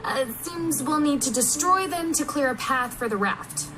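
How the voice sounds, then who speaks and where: A woman's voice: high-pitched, lively intonation, normal speed, over a noisy crowd. A woman co-hosting a game livestream with bubbly, reactive, scripted commentary and playful banter. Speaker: livestream co-host. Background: music.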